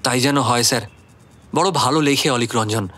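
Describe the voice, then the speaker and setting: Earnest young man, medium-pitched. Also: moderate pace, a bit bright, breathy edge, faint music behind. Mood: wistful. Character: earnest, dreamy young male writer, respectful and sensitive. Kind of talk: Bengali radio drama acting.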